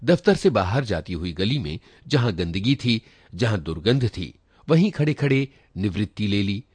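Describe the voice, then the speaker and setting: A man's voice: on the low side, big pitch swings, normal speed, a little mellow, slightly rough, dry recording. A man reading a literary short story aloud in a dramatic, reflective first-person manner. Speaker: story reader.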